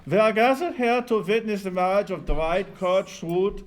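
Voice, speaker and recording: An actor playing a stern wedding minister at a sitcom table read, speaking formally and with deadpan solemnity. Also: male voice, sits high, moderate pace, a little hiss or hum, natural voice.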